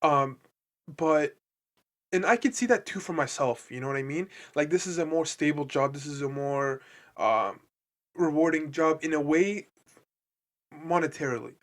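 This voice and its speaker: A young man from Canada chats on a podcast with a slightly high voice. He talks earnestly, circling back on his words and leaning on fillers as he thinks aloud. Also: moderate pace, long pauses. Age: young adult. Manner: earnest, rambling, many fillers and restarts. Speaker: podcast co-host. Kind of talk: casual chat.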